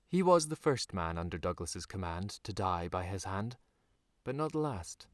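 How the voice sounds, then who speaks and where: Low-voiced man narrating, very dry sound. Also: fairly bright tone, no room sound. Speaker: author reading his own novel. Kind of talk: scripted audiobook narration.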